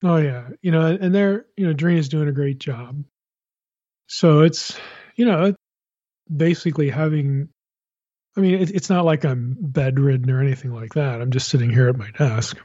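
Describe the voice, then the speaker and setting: A man with a husky, mellow voice, tired and low on energy, talking casually from his desk. He rambles, restarts his sentences and fills them with "you know." The recording is dull and lo-fi, with a dry sound. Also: normal speed, no room sound. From Pennsylvania. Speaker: podcast host. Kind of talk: casual podcast chat. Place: sitting at a home desk.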